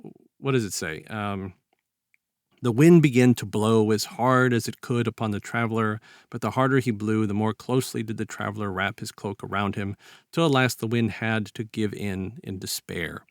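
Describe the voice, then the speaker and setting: A man hosting a casual, reflective discussion of literature, speaking in a halting, conversational way and animatedly acting out quotes as he retells. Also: on the low side, dry recording, studio quality. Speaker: podcast host.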